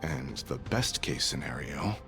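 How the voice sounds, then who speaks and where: A world-weary bodyguard from a video game, his voice sitting extremely deep. He talks bluntly and coldly, with deadpan gravity. Faint music plays underneath. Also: a little slow, creaky, exaggerated voice. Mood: grim, blunt. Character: cold, cynical, world-weary male assassin bodyguard. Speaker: character voice actor. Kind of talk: video game story dialogue. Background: background music.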